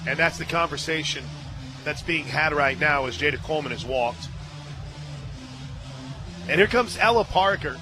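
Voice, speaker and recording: High-pitched man calling softball on radio. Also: normal speed, long pauses, over music. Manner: bursts into excited shouts on big plays. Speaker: play-by-play sports radio announcer. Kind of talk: live softball commentary with casual banter. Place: radio booth at an outdoor ballpark.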